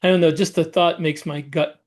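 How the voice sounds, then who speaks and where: Higher-voiced man in casual chat. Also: steady tone, fairly quick. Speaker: podcast co-host and writer.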